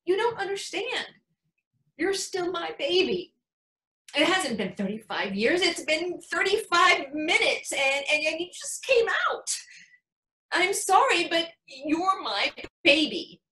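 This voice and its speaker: A woman's voice: very high voice, big pitch swings, slow pace, sharp tone, roomy sound, pitched above the performer's own voice, full character voice, low-quality recording. A woman voicing an anxious, overprotective older mother, fretful and pleading in a melodramatic stage-monologue way. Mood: tearful, pleading. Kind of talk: theatrical monologue character.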